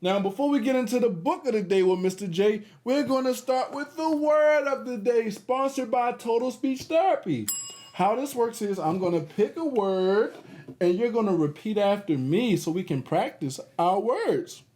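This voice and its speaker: A man hosting a read-aloud for young children in an animated style, encouraging them and adding chatty asides. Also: high-pitched, normal speed.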